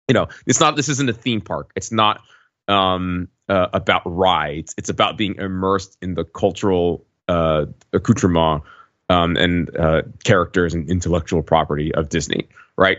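A man on a podcast casually recounting an experience in a wordy, halting way, often correcting himself. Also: low voice, fairly bright tone. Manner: wordy, halting delivery with frequent hedging and self-corrections. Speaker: podcast regular recounting a trip. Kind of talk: casual chat, rambling storytelling. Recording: dull lo-fi, roomy echo.

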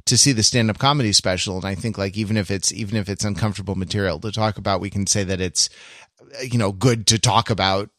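A man in a casual, analytical podcast discussion, speaking haltingly as he thinks aloud and qualifies himself. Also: lowish voice, steady tone, a bit bright, dry recording, clean studio sound. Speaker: podcast panelist.

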